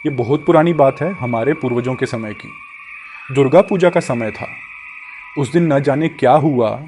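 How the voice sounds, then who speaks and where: A man voicing a grave village landlord father in a horror cartoon, telling things in a solemn, deliberate way. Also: mid-range voice, a little mellow, slight background noise, natural voice. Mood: grave, ominous. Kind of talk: Hindi animated horror story dialogue.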